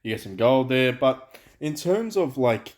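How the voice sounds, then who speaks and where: Male gaming host, loud voice, rambling casual chat. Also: mid-range voice, sing-song, a little slow. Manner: loud, chatty, many fillers and restarts. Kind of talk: casual gameplay commentary. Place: home room, night, dogs and frogs outside.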